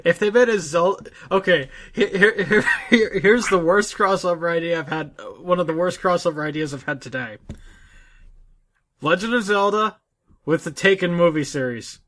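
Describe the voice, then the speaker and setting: Man, fairly high voice, casual gamer chat, podcast co-host, informal. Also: normal speed, fairly bright tone. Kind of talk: casual chat about video games.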